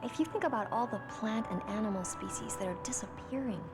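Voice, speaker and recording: A woman voicing a concerned, down-to-earth teenage classmate in an animated series. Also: medium pitch, brisk, natural voice. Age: young adult. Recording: music behind the voice.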